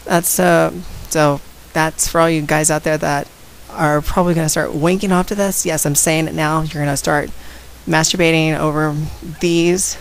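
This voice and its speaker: A woman with a slight head cold and a raspy voice, talking casually and outspokenly straight to her viewers in a vlog. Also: low-pitched, fairly even intonation, normal speed, hardly any pauses, rough recording. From San Antonio, Texas. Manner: outspoken, direct, addresses viewers. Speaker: vlogger. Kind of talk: casual talk to camera.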